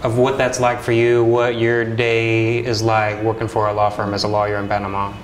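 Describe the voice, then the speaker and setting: A man's voice: lowish voice, monotone, normal speed. A man hosting a casual interview, introducing his guest and asking friendly questions. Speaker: interview host. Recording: echoey room with faint noise.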